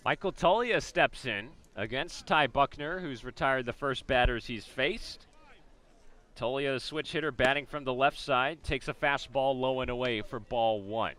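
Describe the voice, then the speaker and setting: A man with an American accent calling a baseball game as a radio play-by-play announcer, describing each pitch and the setting. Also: a little slow, fairly bright tone. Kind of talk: live sports commentary, descriptive radio play-by-play.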